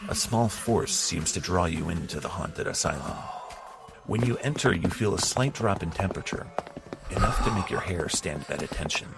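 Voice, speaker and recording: A man narrating a haunted-asylum audio drama in the second person, with a steady, descriptive delivery. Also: deep voice, very noisy. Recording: clear music under the voice.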